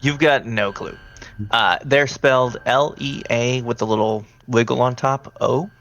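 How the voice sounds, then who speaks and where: A man running a tabletop horror game as game master, talking in a halting, conversational way. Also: mid-range voice, steady tone, fairly bright tone, dull audio.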